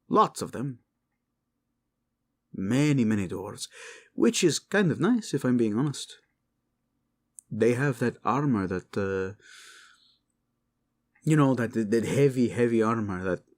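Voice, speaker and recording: A man voicing a flippant, flirtatious tiefling soldier in a fantasy audio drama, with an animated, chatty, joking delivery. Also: medium pitch, slow pace, pauses often.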